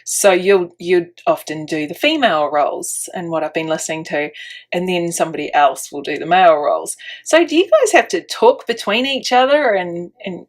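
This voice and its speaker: A woman's voice: fairly low pitch, expressive, moderate pace. A woman with a New Zealand accent hosting a casual interview, laughing often and giving short replies. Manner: laughs often, brief back-channel replies.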